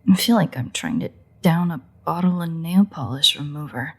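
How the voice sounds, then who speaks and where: A woman playing a sardonic, teasing female vampire in a roleplay audio drama, with a husky, breathy, gravelly voice and a sarcastic, exasperated manner. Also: deep voice, big pitch swings, moderate pace, a little mellow, exaggerated voice. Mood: disgusted, grumbling. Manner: sarcastic, mocking, swearing, dry exasperation. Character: sardonic female vampire, teasing, crude, grudging toward a hunter. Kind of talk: roleplay audio drama, intimate monologue to the listener.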